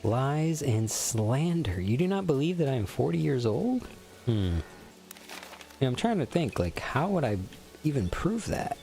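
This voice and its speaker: A man's voice: mid-range voice, very animated, unhurried, vocal fry, warm tone, soft background music. A man in his forties chatting casually and crudely while streaming a video game, joking with his viewers in mock-serious banter. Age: 40s. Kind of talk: casual gaming stream chat.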